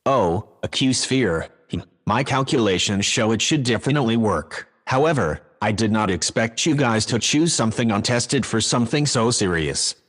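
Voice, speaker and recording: A synthetic male voice playing a calm, helpful AI house assistant in a sci-fi audio drama, courteous and formal. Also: medium pitch, moderate pace, few pauses. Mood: calm, analytical. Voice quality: synthetic text-to-speech sound.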